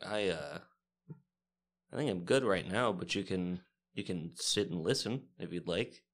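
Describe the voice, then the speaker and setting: Man, very low pitch, creaky gravel, casual tabletop roleplay, halting delivery. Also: animated. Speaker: tabletop game player. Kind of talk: casual in-character roleplay and chat.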